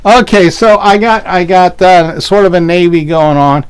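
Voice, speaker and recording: A man's voice: high voice, steady tone, normal speed, clean tone. A man from Western Pennsylvania co-hosting a casual fabric show, describing prints and prices with wry jokes. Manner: joking, wry asides. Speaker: fabric shop co-host. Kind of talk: casual live product showcase.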